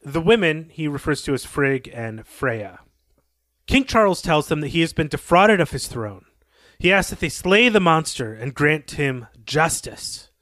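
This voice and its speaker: A man's voice: a little high, sing-song, unhurried, a bit bright, dry recording. A man co-hosting a podcast, retelling a story and commenting on it as he goes. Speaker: podcast co-host.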